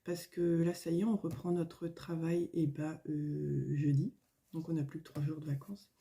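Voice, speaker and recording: A middle-aged woman, a little hoarse, chatting casually at home in a vlog, rambling with many fillers and restarts. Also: sits low, little pitch movement, moderate pace, warm tone. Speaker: coloring vlogger. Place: at home, indoors.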